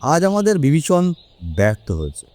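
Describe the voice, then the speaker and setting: A man playing a learned detective, deliberate and theatrical as he expounds in an audio drama. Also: on the high side, huge pitch swings, darkish tone, dry recording. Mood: explaining, solemn. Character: learned male detective solemnly expounding an occult mystery. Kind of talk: Bengali audio story dramatic acting.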